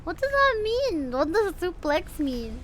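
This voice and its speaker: A woman streams a game in a very high voice, talking at a slow, easy pace. She reacts expressively and playfully as she chats with viewers. There is faint noise behind her. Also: big pitch swings, a bit bright. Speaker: livestreamer playing a story game. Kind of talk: casual livestream chat mixed with reading game dialogue aloud.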